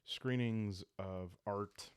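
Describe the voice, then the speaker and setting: A man speaking calmly and soothingly in an unhurried way, hosting a relaxing role-playing series meant to help listeners fall asleep. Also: on the low side, clear, resonant tone. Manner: calm, unhurried, soothing delivery. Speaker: host of a solo role-playing video series. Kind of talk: calm, sleep-aid ASMR narration to viewers. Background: background music.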